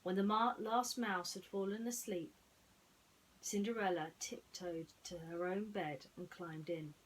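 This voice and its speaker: A woman hosting and narrating bedtime stories in a gentle storytelling style. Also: lowish voice, fairly even intonation, unhurried, a little hiss or hum, low-quality recording.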